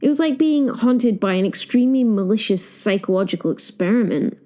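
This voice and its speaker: Woman as Eleanor Rigby, wry narrator with lively intonation. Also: mid-range voice, fairly quick, natural voice. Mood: wry, gloomy. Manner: wry, understated, digressive. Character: Eleanor Rigby, flustered, dry-witted woman, reluctant caretaker of Hell. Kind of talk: first-person audio drama narration.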